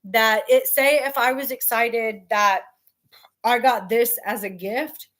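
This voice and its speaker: A woman talks slowly and deliberately, her everyday voice carrying loudly even when she means to speak softly. Her tone sits a little high, and she pauses often with ums and likes as she shares personal thoughts in a casual chat. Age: adult with children. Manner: loud voice by habit, talks slowly and earnestly with fillers. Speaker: host. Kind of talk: casual talk about her book.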